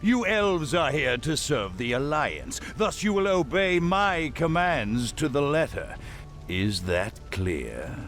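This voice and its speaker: A bigoted game warlord, pompous and cold, slow delivery. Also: male voice, a little high, very animated, a bit bright, soft background music, heavily acted. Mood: contemptuous. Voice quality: haughty, clipped commands, contemptuous. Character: arrogant human grand marshal, bigoted and domineering. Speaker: military commander. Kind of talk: video game cutscene voice acting.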